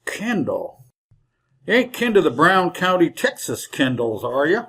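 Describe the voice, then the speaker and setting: An actor playing a chatty, folksy older wagon driver with a rural Western American drawl in an old-time radio Western. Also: male voice, fairly high pitch, very animated, measured pace, a bit bright, husky, full character voice. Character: garrulous leathery old frontier wagon driver, folksy and cheerful.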